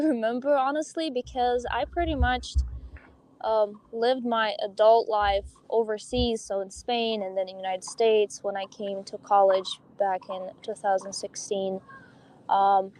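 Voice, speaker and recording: A young woman speaks openly in a podcast interview, her colouring her English. Her voice sits on the higher side. She talks in a loose, chatty way, with soft laughs and ums between her thoughts. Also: steady tone, measured pace. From Russia. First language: Russian. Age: young adult. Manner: open and chatty, with light laughs and filler ums. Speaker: guest, professional pickleball player.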